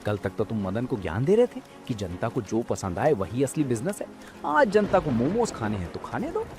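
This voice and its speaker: A man's voice: medium pitch, huge pitch swings, moderate pace, music in the background. A man playing an easygoing, teasing village customer in a cartoon story.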